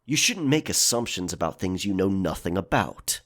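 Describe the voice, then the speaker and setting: A man's voice: low voice, sing-song, normal speed, fairly bright tone, heavily acted. A roguish pirate played by a man speaks in a historical audio drama. He is sly and guarded, with a curt edge. His intonation moves widely up and down. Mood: cold, grumbling. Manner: sly, teasing, curt when pressed. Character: Grayson, roguish guarded male pirate from London's gutters.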